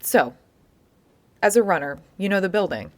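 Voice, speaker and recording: A woman with an American accent playing a patient, measured interviewer who gently probes, in a naturalistic audio drama. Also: mid-range voice, natural voice. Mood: patient, probing. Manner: measured, calm, probing. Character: patient, probing woman interviewer recording an oral history.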